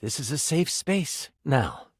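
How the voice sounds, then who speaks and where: Theatrical game master with swooping pitch. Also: male voice, big pitch swings, slow, husky, no room sound, clean studio sound, full character voice. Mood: thoughtful. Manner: theatrical, sardonic, easily exasperated. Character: exasperated, theatrical male game master, a living twenty-sided die. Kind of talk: tabletop game narration with banter, video game voice acting.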